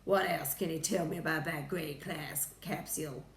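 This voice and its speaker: A woman voicing a gruff, irascible male President in a storybook, rasping and barking with pompous command. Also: fairly even intonation, normal speed, echoey room, natural voice. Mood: irritated. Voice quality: deep, rasping. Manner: snappy, barking.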